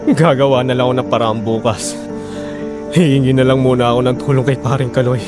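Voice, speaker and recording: A man's voice: mid-range voice, steady tone, fairly quick, music in the background, natural voice. A man playing an earnest, persevering husband who pleads for his family in a Filipino audio drama. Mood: defensive, pleading.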